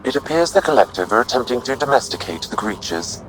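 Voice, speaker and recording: A man voicing a calm, analytical AI assistant in a sci-fi game, with a measured, formal, factual delivery. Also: medium pitch, soft background music, low-quality recording. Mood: detached, factual. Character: calm, analytical artificial intelligence assistant, male. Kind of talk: video game voice acting.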